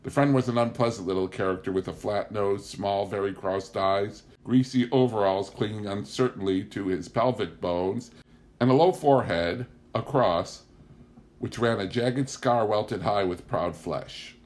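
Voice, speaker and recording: Male audiobook narrator, low-pitched, for first-person literary memoir. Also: unhurried, a little mellow. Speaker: audiobook reader.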